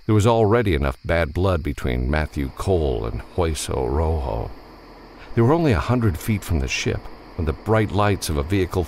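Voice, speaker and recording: A man's voice: very deep voice, a little mellow. A man narrating an adventure novel as an audiobook, reading scripted prose dramatically.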